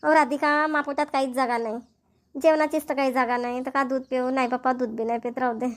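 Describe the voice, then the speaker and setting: A woman's voice: high-pitched, little pitch movement, fast, natural voice. A woman voicing a tired, middle-aged village mother-in-law in a family cartoon, with a homely Varhadi Marathi accent. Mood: weary, fond. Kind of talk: cartoon family comedy voice acting.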